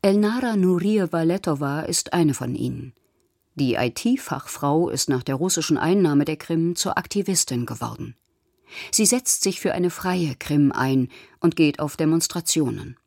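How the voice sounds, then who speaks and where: A woman reading scripted documentary news narration in a measured, matter-of-fact way, recorded as a studio voice-over. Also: low-pitched, expressive, creaky, a little mellow, dry recording.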